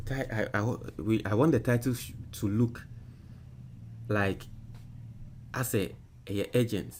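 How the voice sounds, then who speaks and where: A man from Ghana with a Ghanaian English accent who hosts a political show on social media. He reads viewer comments forcefully, then dictates an email slowly while he types. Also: on the low side, a little hiss or hum. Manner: loud and emphatic when reading comments, quiet and halting while typing.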